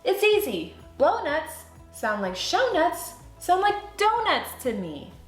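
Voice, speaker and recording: Woman doing an eager, high-voiced schoolchild. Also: very animated, takes it slowly, echoey room, soft background music, exaggerated voice. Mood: eager, confident. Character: eager, helpful schoolchildren, confident and bright. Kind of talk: children's storybook read-aloud.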